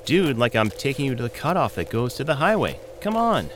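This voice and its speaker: Wildly animated wisecracking coyote, acted by a man. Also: mid-range voice, brisk, soft background music, lightly acted. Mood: sardonic. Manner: sarcastic, teasing, slangy. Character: wisecracking, smart-aleck male talking coyote. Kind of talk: comedic audio drama acting.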